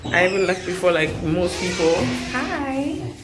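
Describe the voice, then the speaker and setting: Woman, Nigerian accent, 20s, casual vlog chat, unhurried, easy laugh. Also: on the low side, very animated, music in the background. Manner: chatty, laughs easily, slow and unhurried. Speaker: vlogger. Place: hotel corridors and grounds.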